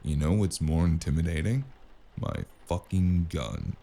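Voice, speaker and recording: A male actor playing a cynical, wisecracking investigator in a noir audio drama, with a gravelly voice and a dry, menacing delivery. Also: sits low, measured pace, dark tone. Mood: dry menace. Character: cynical, wisecracking hardboiled spacer investigator with magic. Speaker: voice actor playing the lead and narrator.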